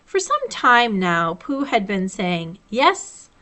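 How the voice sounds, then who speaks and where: A woman reading a children's story aloud as a library storytime host, with expressive, deliberate phrasing. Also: medium pitch.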